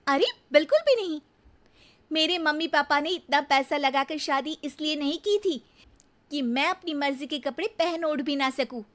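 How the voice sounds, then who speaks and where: A woman's voice: high voice, moderate pace, a bit bright. A woman voicing a spoiled, snobbish young daughter-in-law in a cartoon story, with a haughty, complaining manner. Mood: indignant.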